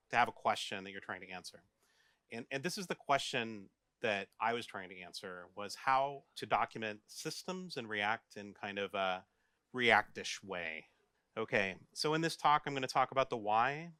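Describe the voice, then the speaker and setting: An American man gives a loose, joking tech talk and keeps turning questions to his listeners. His voice sits a little low yet sounds bright and forceful, smooth and clean. The sound is dry, with hardly any room in it. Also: no room sound. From United States. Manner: chatty, jokey, asks the audience questions. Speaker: software developer giving a tech talk. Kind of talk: casual conference talk. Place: office meeting room, live audience.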